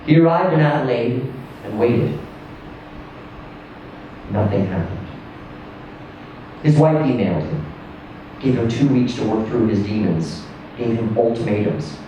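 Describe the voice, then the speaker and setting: A man reading a literary short story aloud in a theatrical, emphatic manner, with deliberate pauses and swells. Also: medium pitch, expressive, warm tone. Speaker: story reader. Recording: distant echoey room with faint noise.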